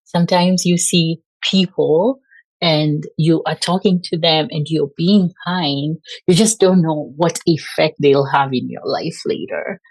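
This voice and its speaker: A woman's voice: deep voice, fairly even intonation, measured pace, darkish tone, slightly rough, no echo, poor audio. A woman from Kenya with a Kenyan accent, a physician guest talking casually in an interview and using lots of "like" fillers. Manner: chatty, frequent "like" fillers. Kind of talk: conversational interview.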